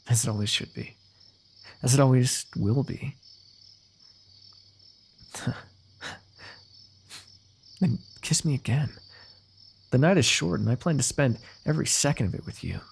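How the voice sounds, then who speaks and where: Man playing a husky, gravelly lovestruck fantasy royal. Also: medium pitch, expressive, a little slow, long pauses, full character voice. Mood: amused, devoted. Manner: intimate, poetic, talkative, softly amused. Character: lovestruck, eloquent fantasy royal, tenderly teasing his sweetheart. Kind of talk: romantic ASMR fantasy roleplay.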